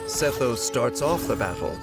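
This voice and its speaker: Loud music runs beneath a composed male storyteller , acted by a man, narrating a fantasy game trailer. He speaks in a measured, deliberate, knowing way at a medium pitch. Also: expressive, natural voice. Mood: explanatory.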